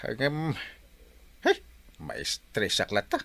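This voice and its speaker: An actor playing an excitable, fretful village captain in an Ilocano comedy radio drama, with an Ilocano accent. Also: male voice, lowish voice, slow, vocal fry, slightly rough, no echo, heavily acted. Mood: stressed.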